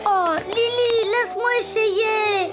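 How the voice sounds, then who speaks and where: An actor voicing a lively, possessive little boy donkey in a French preschool cartoon, with a childish, emphatic way of talking. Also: very high-pitched, slow pace. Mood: pleading. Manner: childish, emphatic, whiny when refused.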